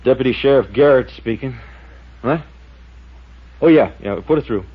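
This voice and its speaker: A man's voice: mid-range voice, animated, moderate pace, background noise, lightly acted. An American man playing a cautious, plain-spoken small-town deputy sheriff in a 1950s radio drama, folksy and matter-of-fact. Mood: businesslike. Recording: dull vintage radio recording.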